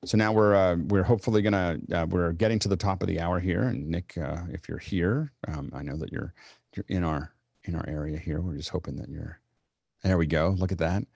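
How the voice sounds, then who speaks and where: A man's voice: low-pitched, brisk, vocal fry, darkish tone, no echo. A man hosting a live online tech panel, handing out questions and rambling through answers with many restarts. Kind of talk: casual panel moderation.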